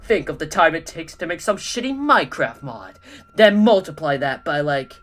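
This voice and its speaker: A woman voicing a gruff, indignant illusionist villain who rants loudly. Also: sits low, big pitch swings, takes it slowly, pitched below the performer's own voice, heavily acted. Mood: ranting. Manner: ranting, indignant.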